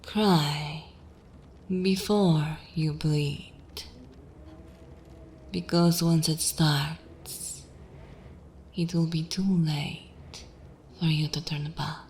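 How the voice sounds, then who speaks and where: A woman's voice: sits low, steady tone, darkish tone, slight background noise. A woman voicing a seductive, imperious vampire mistress in an ASMR fantasy roleplay, commanding and coldly mocking, sometimes dropping into a whisper. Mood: mock-tender, ominous. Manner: slow, commanding, theatrical, breathy whispering at times.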